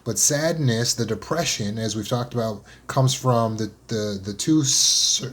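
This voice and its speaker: A man speaking as a motivational speaker, in an earnest, unscripted solo talk. He repeats words as he thinks aloud, slows down on key phrases and speeds through lists. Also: mid-range voice.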